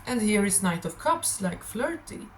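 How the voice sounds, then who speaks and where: Young female tarot streamer, non-native English, slightly raspy, medium pitch, scattered and chatty delivery, noisy ambient recording. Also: measured pace. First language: not English. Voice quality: a little raspy. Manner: scattered, animated storytelling. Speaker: tarot reader hosting a stream. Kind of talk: casual rambling monologue.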